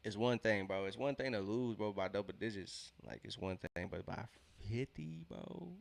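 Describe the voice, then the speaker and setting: A man's voice: fairly low pitch, expressive, normal speed, gravelly. A young man with a congested, nasal voice, casually reacting to a basketball game on a live watch-along stream, slangy and repeating himself.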